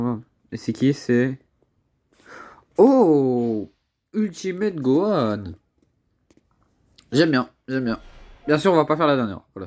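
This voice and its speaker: Excitable young man with a cold. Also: medium pitch. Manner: excitable, chatty, repeats words, swears under breath. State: congested with a cold. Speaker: mobile game YouTuber. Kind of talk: casual gameplay commentary.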